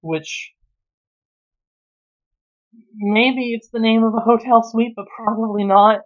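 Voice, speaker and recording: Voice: medium pitch, level tone, takes it slowly, muffled recording. A sarcastic, exasperated reviewer who swears freely while giving casual commentary on a story.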